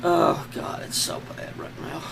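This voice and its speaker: A hoarse man lying on the floor at home, talking slowly and despairingly in halting, trailing sentences. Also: noisy background. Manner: slow, halting, despairing, many trailing restarts. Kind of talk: casual personal vlog.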